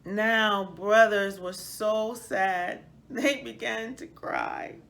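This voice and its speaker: A woman reading a children's picture book aloud as a storyteller, in a deliberate, expressive manner. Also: medium pitch, creaky. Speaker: read-aloud host, storyteller.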